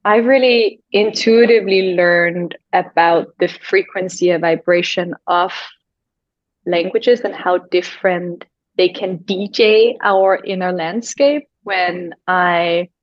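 Danish-born woman chatting, lowish voice, lifts her pitch on words she cares about, kinda dull lo-fi audio. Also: no echo. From Denmark. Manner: animated and expressive, pitch rising on key words. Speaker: guest. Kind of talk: casual conversation. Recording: slightly dull lo-fi sound.

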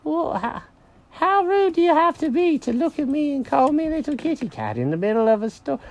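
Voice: high-pitched, very animated, unhurried, a little mellow, voice pushed up, full character voice. A storyteller voicing a fussy, sensitive male cat with a prim, fretful and polite way of talking. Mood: offended.

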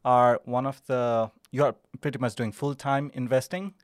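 A man hosting a podcast interview, introducing his guest in a hesitant way with many pauses. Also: medium pitch, fairly even intonation, no echo, studio quality. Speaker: podcast host.

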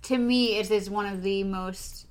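A woman's voice: mid-range voice, fairly even intonation, unhurried. A woman chatting casually about anime, rambling a little with fillers.

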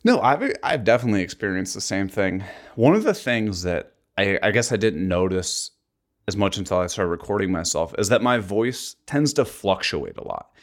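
A man with a very low voice talks casually as a podcast co-host, rambling and leaning heavily on "like". He sits close to a voice mic clamped a couple inches from his mouth in an apartment, so the sound is near and intimate. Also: expressive, moderate pace. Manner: rambling, heavy use of "like".

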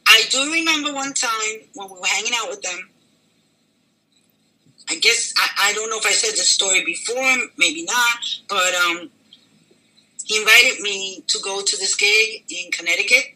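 An older woman, a singer, telling a story in an interview with lively, dramatic delivery and acted-out quotes. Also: medium pitch, moderate pace, rough recording. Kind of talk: storytelling in a conversation.

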